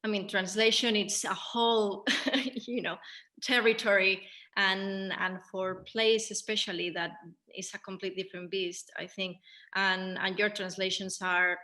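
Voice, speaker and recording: Female director leading a post-show Q&A: sharp bright voice, little pitch movement, pauses to find words. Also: level tone. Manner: halting, careful, searching for words. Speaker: theatre director hosting a Q&A. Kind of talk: casual post-show panel discussion.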